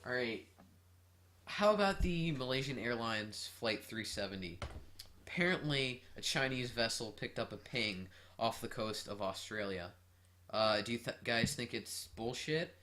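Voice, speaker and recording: A man co-hosting a casual podcast, talking in an energetic, jokey and self-deprecating way. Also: medium pitch, a little slow, slight background noise. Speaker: podcast co-host. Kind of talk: casual chat.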